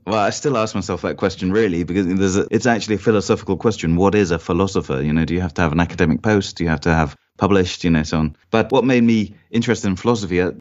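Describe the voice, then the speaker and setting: A man with an English accent shaped by London, speaking as a podcast guest, thoughtful and measured with frequent restarts. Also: low-pitched. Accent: English, London-influenced. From Sweden and Cornwall, England. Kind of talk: casual conversation. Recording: dull lo-fi audio.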